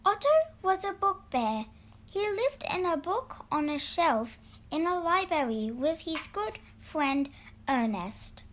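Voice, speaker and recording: A woman narrating a children's storybook in an expressive, deliberate read-aloud style. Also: extremely high pitch. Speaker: storybook reader.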